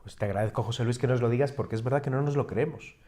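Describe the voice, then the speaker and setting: A man's voice: mid-range voice, very fast, dark tone. A man with a mid-range voice hosts a conversational interview. He also teaches at a university. He phrases his questions hesitantly, restarting and reworking them as he goes. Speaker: interview host, university lecturer.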